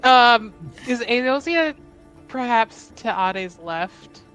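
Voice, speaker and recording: A woman's voice: a little high, lively intonation, very slow, fairly bright tone, faint music behind, poor audio. A woman player at a tabletop game, hesitant and full of fillers, with a wry humor. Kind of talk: tabletop roleplay chat.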